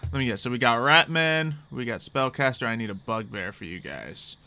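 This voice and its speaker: A man's voice: medium pitch, expressive, normal speed, pushed and bright. A man chatting casually as he hosts and runs a tabletop roleplay game, at times muttering to himself as he sets things up. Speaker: game master and host.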